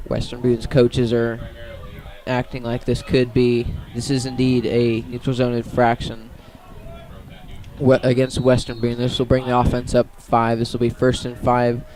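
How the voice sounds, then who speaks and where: An American man gives live radio play-by-play of a football game. His voice sits at a medium pitch with extremely little melodic movement. He delivers each play in halting pieces, correcting himself as he goes. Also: completely flat delivery, a little mellow, poor audio. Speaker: play-by-play commentator.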